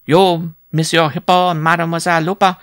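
Here is a man performing a supercilious giraffe boy from a circus storybook. The voice sits high and dry, lofty and superior, as if addressing everyone from far above. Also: level tone, a little fast, fairly bright tone, clean tone, no room sound, lightly acted. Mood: condescending. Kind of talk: theatrical audiobook character voice.

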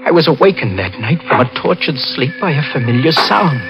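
A panicking young murderer. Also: male voice, on the high side, animated, brisk, dull audio. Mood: tense narration. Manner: stammering, panicky, breaking into frantic laughter. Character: guilt-ridden young man who murdered his uncle, unravelling into panic. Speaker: narrator and lead character. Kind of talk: 1940s radio drama acting. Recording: old radio broadcast with music.

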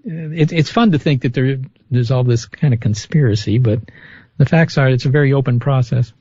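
A man's voice: mid-range voice, moderate pace, dark tone, husky, no room sound, dull audio. A man being interviewed as a scientist on a call-in radio show, chatty, laughing often and restarting his sentences. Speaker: guest scientist.

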